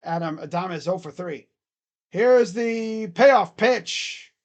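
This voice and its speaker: A casual baseball play-by-play streamer with a naturally booming, loud delivery. The host is relaxed and talky, filling the gaps between pitches with chatter and idle humming. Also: slow. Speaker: live-stream baseball commentator. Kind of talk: casual live play-by-play reaction.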